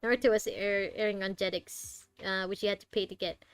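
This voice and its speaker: A woman's voice: medium pitch, fairly even intonation, brisk, smooth. A woman streaming a game with casual, chatty commentary, reacting aloud to the characters. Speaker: gaming streamer. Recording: game music faintly behind.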